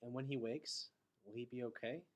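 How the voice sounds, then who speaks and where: A man's voice: mid-range voice, steady tone, moderate pace, close to an everyday voice. A man voicing a young boy hero in a storybook, anxious and casual, with eager questions. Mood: worried.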